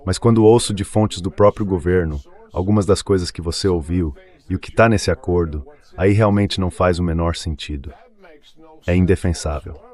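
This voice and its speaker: A synthetic man's voice, pitched low, gives political commentary. It sounds like a translated voice-over laid in for a commentator. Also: normal speed, a little mellow. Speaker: political commentator in a played clip.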